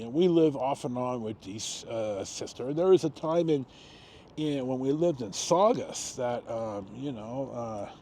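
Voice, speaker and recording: An American man in his sixties telling a personal story casually, rambling with long pauses and self-corrections. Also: medium pitch, mellow. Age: 60s. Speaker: video storyteller. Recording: noticeable background noise.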